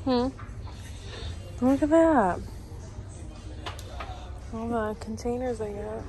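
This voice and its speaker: A woman's voice: on the high side, slow, mellow, poor audio. A young woman vlogging while she shops, chatting and thinking aloud about items on the shelves. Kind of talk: casual shopping vlog chat. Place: large retail store, indoors. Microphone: handheld phone camera. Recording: store music playing in background. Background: store music overhead.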